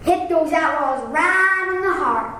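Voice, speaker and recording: A woman's voice: very high voice, expressive, a little slow, bright, forceful tone. A young girl narrating a scripted story as a student reader. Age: child. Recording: echoey room, faint noise.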